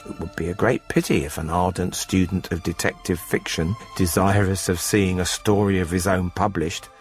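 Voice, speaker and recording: An actor playing a smug poultry farmer who coolly confesses to murder, narrating a radio drama in a measured, formal, drily self-satisfied way. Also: male voice, sits low, fairly even intonation, faint music behind, natural voice. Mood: coolly macabre.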